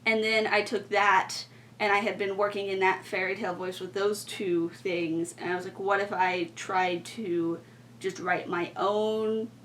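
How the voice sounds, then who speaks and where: A woman's voice: mid-range voice, level tone, measured pace, a bit bright. A young woman explaining things in a casual, rambling way, with plenty of informal filler words. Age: young adult. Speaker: podcast co-host and student writer. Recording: distant mic, faint background noise.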